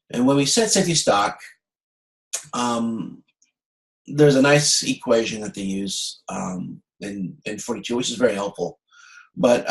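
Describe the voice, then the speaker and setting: Echoey, lower-voiced man teaching online. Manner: halting, thinking aloud, self-correcting. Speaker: course instructor leading an online review. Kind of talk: informal lecture explaining slides. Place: indoor room, online video call. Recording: echoey room on video conference.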